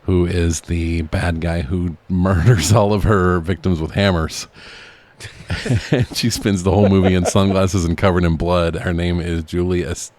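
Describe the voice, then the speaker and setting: A man's voice: low voice, fairly even intonation, a little slow, darkish tone. A man co-hosting a podcast, chatting casually about movies with frequent chuckles and swearing. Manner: chuckles often, profane. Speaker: podcast host.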